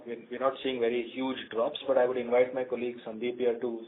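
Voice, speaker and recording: A man with an Indian accent answering analysts as a company executive, speaking off the cuff in a halting way and repeating words. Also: medium pitch, little pitch movement. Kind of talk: unscripted earnings call answers. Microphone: telephone conference call. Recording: narrow, tinny phone conference line.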